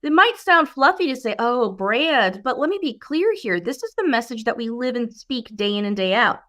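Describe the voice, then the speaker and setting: A woman's voice: on the high side, very animated, moderate pace, fairly bright tone. A woman speaking as an interview guest and brand strategist, explaining in an emphatic, coaching way with rhetorical questions. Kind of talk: explanatory business interview talk.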